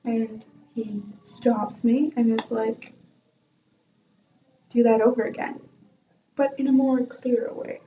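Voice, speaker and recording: A woman's voice: a little high, fairly even intonation, takes it slowly, pauses often, warm tone, far from the mic. A young woman telling a self-mocking story from her music class in a chatty vlog, laughing often. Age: young adult. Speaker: vlogger and music student. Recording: dull lo-fi sound from off-mic.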